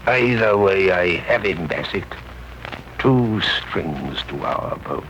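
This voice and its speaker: An actor playing a calculating, menacing criminal mastermind in a radio drama, measured, ominous and commanding. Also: male voice, deep voice, lively intonation. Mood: cold menace. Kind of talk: 1930s radio acting. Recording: muffled, noisy 1930s radio recording.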